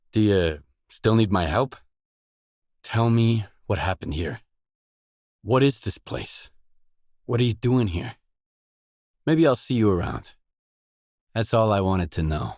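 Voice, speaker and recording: A man's voice: lowish voice, darkish tone, no echo, studio quality. A man playing a matter-of-fact mercenary stalker, the hero of a video game, speaking in terse, businesslike dialogue lines.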